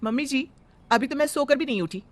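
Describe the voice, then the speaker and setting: A sassy, spoiled young bride, played by a woman, cheeky and dismissive. Her fairly high voice moves around a lot. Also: expressive, brisk.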